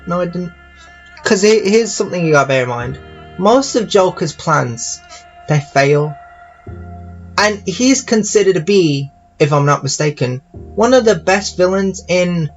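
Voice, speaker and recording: Halting man rambling over music, slightly high voice. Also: muffled recording. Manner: halting, circling back and repeating phrases. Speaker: YouTuber reviewing games. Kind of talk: casual rambling audio-log commentary.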